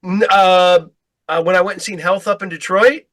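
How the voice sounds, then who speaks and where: Rambling middle-aged man host, slightly high. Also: fairly even intonation, normal speed, over a noisy crowd. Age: 40s. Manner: rambling storyteller, stumbling restarts. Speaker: podcast host. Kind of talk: casual chat.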